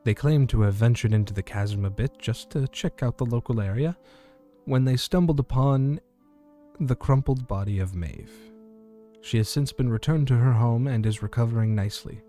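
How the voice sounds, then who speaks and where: A man playing a small-town radio host in an audio drama, reporting eerie fantasy news in a measured, formal announcer manner. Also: mid-range voice, mellow, soft background music, natural voice. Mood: measured, grave. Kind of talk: scripted community radio news narration in audio fiction.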